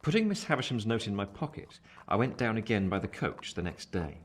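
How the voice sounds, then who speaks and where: An actor playing an earnest, reflective gentleman narrating his own story in measured, literary style for a radio play. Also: lowish voice, very animated. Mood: intent, grave. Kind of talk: scripted first-person radio play narration.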